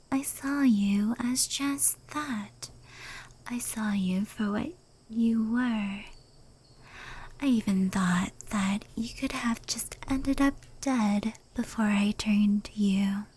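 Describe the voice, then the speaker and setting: A woman plays a powerful, proud female fox yokai in a whispered ASMR roleplay. Her voice is soft and breathy, with a dark, mellow tone. She speaks slowly and intimately, like a fond confidante. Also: mid-range voice. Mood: serious, confessional. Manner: unhurried, hushed, intimate.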